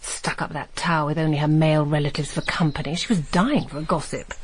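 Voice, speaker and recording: An actress playing a worldly, flirtatious, wealthy Roman provincial hostess in a radio drama, airy and teasing in manner. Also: female voice, low voice, steady tone, a little fast, breathy edge. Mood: breezy, gossipy. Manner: coy, teasing, airy, gossipy.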